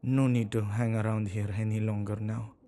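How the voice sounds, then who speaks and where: A man playing a flustered, self-neglecting male witch in an ASMR audio roleplay, speaking intimately and haltingly to the listener. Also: fairly low pitch, flat delivery, dark tone, natural voice. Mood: nervous. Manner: hesitant, halting, with sighs and murmured asides.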